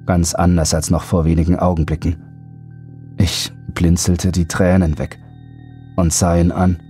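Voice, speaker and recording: Male horror audiobook narrator, very low voice, dramatic first-person storytelling over faint music. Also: little pitch movement, unhurried, warm tone.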